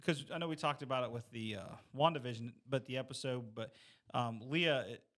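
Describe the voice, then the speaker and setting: A middle-aged American man with a medium-pitched voice. He talks in a loose, rambling way, like a co-host in a casual group conversation. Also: brisk, fairly bright tone. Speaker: podcast co-host.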